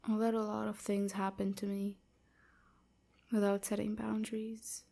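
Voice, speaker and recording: Woman acting as timid vampire slave, anxious, apologetic, hushed and stammering, slow pace, flat intonation, intimate audio roleplay. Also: medium pitch. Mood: sad, subdued. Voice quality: soft, breathy, often whispery. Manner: hesitant, stammering, hushed and breathy.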